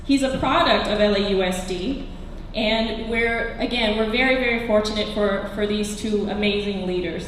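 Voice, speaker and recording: A woman makes formal introductions as an event presenter. Her pitch is average. She sounds far from the mic in a strongly echoing hall. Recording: distant, very echoey hall, faint noise.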